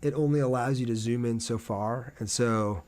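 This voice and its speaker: A man's voice: mid-range voice, moderate pace, darkish tone. A man giving casual live gameplay commentary as a game streamer, talking to his viewers as he plays.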